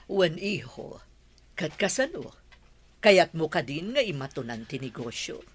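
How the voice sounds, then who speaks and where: A woman's voice: low-pitched, very animated, unhurried, breathy edge, heavily acted. A woman with a Filipino Ilocano accent playing a worried, weary older mother who runs a family business in a radio drama. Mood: concerned. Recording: noisy track with clicks and insect sounds.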